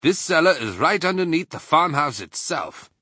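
A man's voice: a little high, very animated, moderate pace, bright, forceful tone, husky, dry recording, studio quality, pitched above the performer's own voice, heavily acted. A man voicing a clever, proud male fox father in a storybook reading, fatherly and pleased.

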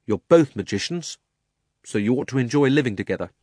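A man's voice: medium pitch, lively intonation, brisk, a little mellow, dry recording, clean studio sound, pitched above the performer's own voice, lightly acted. A man voicing a defiant, urgent young boy adventurer in a storybook reading. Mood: defiant, firm. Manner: urgent, firm.

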